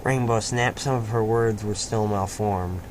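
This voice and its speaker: A man's voice: fairly low pitch, measured pace, crowd noise, poor audio. A man reading first-person fan fiction aloud as the story's narrator in a scripted, dramatic style.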